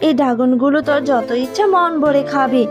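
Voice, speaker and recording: A poor village mother, voiced by a woman, gentle and reassuring. Her high voice is hopeful and affectionate, in a cartoon with music behind. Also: brisk, lightly acted. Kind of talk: Bengali cartoon dubbing.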